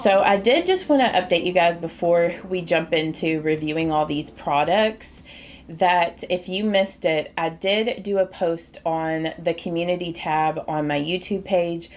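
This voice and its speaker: A woman chatting casually through a makeup review for her viewers, sounding a little nasal and congested from a cold, with a slightly scratchy throat. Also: on the low side. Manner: chatty, rambling, talks directly to viewers. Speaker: beauty YouTuber.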